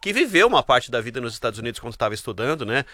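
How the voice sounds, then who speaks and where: A man's voice: medium pitch, big pitch swings, rapid-fire, sharp tone, dry recording. A man with a Brazilian accent hosting a casual sports chat on the radio. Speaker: radio sports show host.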